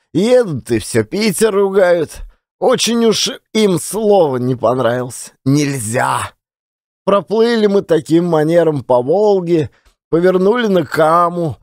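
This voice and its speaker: A garrulous provincial Russian man, acted out by a male reader, spins his rueful yarn in an audiobook drama. His voice is high and very animated, with folksy colloquial turns and theatrically stretched words. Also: no room sound, clean studio sound. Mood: wistful, folksy. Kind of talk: dramatized audiobook storytelling, monologue.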